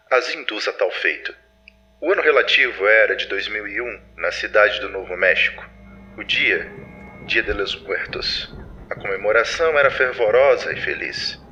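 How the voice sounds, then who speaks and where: Man acting a grave, bright-voiced patrol archivist. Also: on the low side, low-quality recording. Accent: Brazilian. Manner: solemn, formal, ominous. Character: grave male patrol archivist recording secret mission files. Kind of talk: dramatic scripted narration, audio drama.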